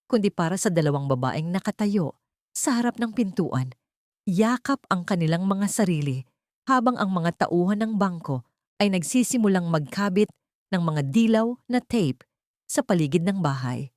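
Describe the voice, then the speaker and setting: Expressive Filipino woman narrating drama. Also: medium pitch, moderate pace, dry recording, clean studio sound. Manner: theatrical, expressive. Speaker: audio story narrator.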